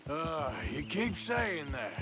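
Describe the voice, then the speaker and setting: Sardonic cowboy outlaw, American Western drawl, fairly high lively pitch, dry taunts, game cutscene. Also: male voice, slow. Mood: dry, threatening. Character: gruff, sardonic cowboy outlaw, menacingly teasing. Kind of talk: video game cutscene dialogue.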